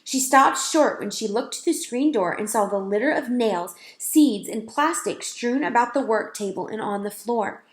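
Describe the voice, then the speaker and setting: Woman narrator, children's read-aloud, fairly high voice, roomy echo. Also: normal speed, hardly any pauses. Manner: clear, expressive reading. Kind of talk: reading a storybook aloud.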